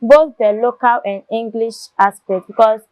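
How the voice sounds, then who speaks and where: A young woman student, answering questions in a discussion in an earnest, measured way, pausing to find words. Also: on the high side, no room sound, muffled recording.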